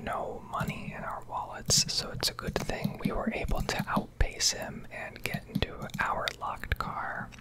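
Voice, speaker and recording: A woman's voice: a little slow, hardly any pauses. A woman whispering a rambling, casually sweary ASMR commentary over gameplay, close to the microphone. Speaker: game streamer.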